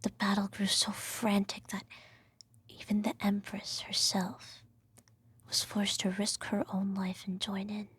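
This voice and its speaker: A woman playing an evil empress and doting adoptive mother, telling a bedtime story in a breathy ASMR whisper with formal, measured diction. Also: medium pitch, monotone, a bit bright. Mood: hushed, solemn storytelling.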